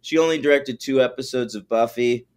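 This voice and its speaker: A man's voice: mid-range voice, brisk, clean tone. A man co-hosting a podcast, talking casually and animatedly, joking and swearing. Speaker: podcast co-host.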